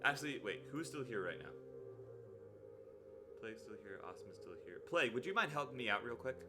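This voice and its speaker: A male streamer chatting casually on a live stream. His voice sits at an average pitch and he talks playfully, with sudden excited leaps. Music plays behind him. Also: fairly quick. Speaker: livestream host.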